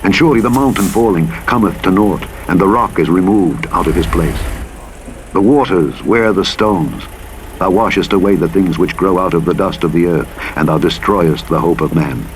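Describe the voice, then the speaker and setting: Deep-voiced man reading scripture over engine noise. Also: low-quality recording. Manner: formal, measured, dramatic delivery. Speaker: Bible audiobook narrator. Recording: playback under loud truck engine noise. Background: truck engine and vehicle noise from a driving game.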